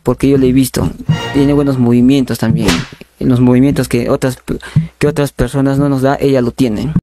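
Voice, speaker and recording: A young man making a prank phone call as a polite, insistent recruiter, halting and repeating his words. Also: mid-range voice, flat delivery, mellow, soft background music. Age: young adult. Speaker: prank caller. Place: small bedroom studio indoors. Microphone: condenser microphone on a boom arm, close.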